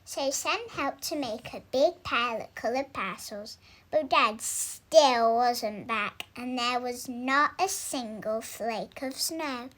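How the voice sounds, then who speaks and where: Young woman reading a kids story out loud, really high voice, takes it slow n drawn out. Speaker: storybook reader. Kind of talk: expressive scripted children's story narration.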